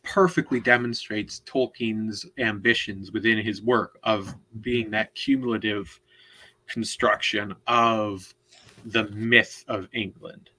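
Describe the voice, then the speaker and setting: A man co-hosting a casual literary discussion, explaining things in a halting, deliberate way and often repeating words. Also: on the low side, steady tone, sharp tone, low-quality recording. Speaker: co-host, literary commentator.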